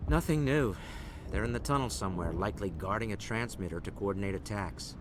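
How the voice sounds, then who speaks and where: A man's voice: on the low side, fairly quick, background noise, lightly acted. A man playing the earnest leader of an embattled frontier colony in a video game, with a formal, courteous manner. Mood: weary, earnest. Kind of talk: video game dialogue acting.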